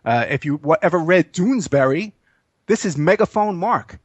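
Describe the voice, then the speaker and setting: A man's voice: fairly high pitch, expressive, normal speed, dry recording. A man in his fifties hosting a live radio interview show, talking casually with lots of fillers and restarts. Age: 50s. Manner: casual, rambling, many fillers.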